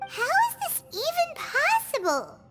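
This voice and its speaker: A woman voicing a tiny childlike companion sprite in a game, squeaky, wide-eyed and exclamatory. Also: huge pitch swings, a little slow, full character voice. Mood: puzzled. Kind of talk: dubbed game cutscene dialogue. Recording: music clearly under the voice.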